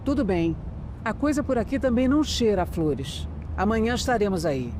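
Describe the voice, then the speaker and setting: A woman playing a shrewd, seasoned Brazilian investigator in an audio drama, serious and measured. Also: medium pitch, expressive, long reverb tail, background noise, lightly acted. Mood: tense, alert. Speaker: lead actor and first-person narrator. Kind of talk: audio drama acting and scripted narration.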